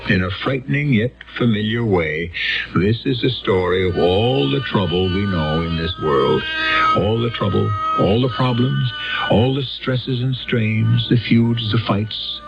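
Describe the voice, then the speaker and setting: Slow, portentous male radio narrator. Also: fairly low pitch, gravelly. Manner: portentous, theatrical. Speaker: host and narrator of radio drama. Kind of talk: dramatic scripted narration, old-time radio. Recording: narrow-band old radio recording with music.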